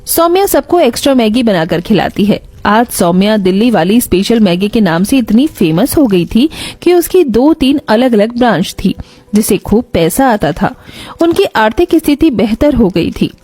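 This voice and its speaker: A woman's voice: on the high side, big pitch swings, normal speed, warm tone, no room sound. A woman reading scripted narration for a moral story.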